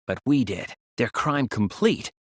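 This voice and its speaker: A thoughtful teenage male detective from a video game, reasons aloud with earnest resolve. His voice is breathy and very dry in the recording, with lively swings of pitch as he deliberately builds and presses each deduction. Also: dry recording. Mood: grave, reasoning. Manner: deliberate, reasoning aloud, emphatic when refuting. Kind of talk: English video game voice acting, dramatic deduction scenes.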